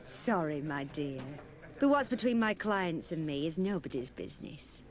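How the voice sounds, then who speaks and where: Coy, flirtatious tavern courtesan. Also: female voice, deep voice, lively intonation, normal speed, slight background noise. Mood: coy, confiding. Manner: coy, familiar, teasing. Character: flirtatious, sweet-talking, discreet tavern courtesan. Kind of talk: video game dialogue acting.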